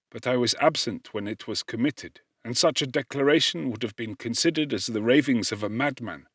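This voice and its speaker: A man with a mid-pitched voice narrates literary fiction. His delivery is solemn and expressive, measured like formal dramatic storytelling. The recording is dry, with no room sound around the voice. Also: no room sound. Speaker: audiobook narrator.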